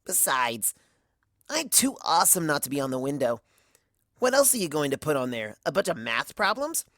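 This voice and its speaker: A woman's voice: low voice, sing-song, moderate pace, a bit bright, no echo, clean studio sound, exaggerated voice. A woman voicing a brash, cocky young tomboy pony from a cartoon, blunt, slangy and boastful.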